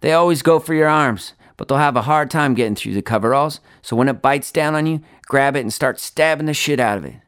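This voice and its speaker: A man's voice: mid-range voice, brisk, few pauses, fairly bright tone, clean tone, no room sound, clean studio sound, pitched above the performer's own voice, close to an everyday voice. A man voicing a brash, impatient, foul-mouthed young fibber who talks in a pushy, colloquial, emphatic way. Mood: bossy.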